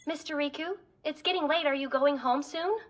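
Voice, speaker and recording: High-voiced, polite young anime office woman by an actress. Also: moderate pace, soft background music, close to an everyday voice. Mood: polite warmth. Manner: deferential, courteous. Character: polite, friendly young female junior employee. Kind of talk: English anime dub acting.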